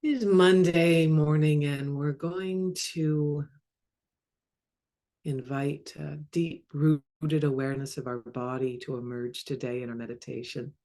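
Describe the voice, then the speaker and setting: A woman leading a guided meditation as a mindfulness coach, speaking calmly and measuredly in short phrases with long pauses. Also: sits low. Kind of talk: guided body scan meditation.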